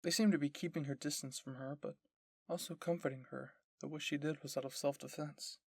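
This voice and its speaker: A man's voice: a little high, steady tone, fairly quick, vocal fry, a little mellow, husky, no room sound, clean studio sound. A man reading scripted story narration as the narrator.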